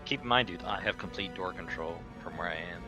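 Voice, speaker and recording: A man's voice: deep voice, brisk, over music. A man chatting casually as a player at a tabletop roleplaying game.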